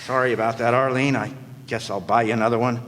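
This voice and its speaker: A man's voice: mid-range voice, fairly even intonation, fast talker, natural voice. A man playing a wisecracking, world-weary private eye in old-time radio noir style, narrating with sardonic slang. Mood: brisk, flippant. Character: wisecracking, world-weary hard-boiled male private detective. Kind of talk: 1940s radio noir acting, first-person narration. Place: live stage performance in a hall. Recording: echoey hall, background noise.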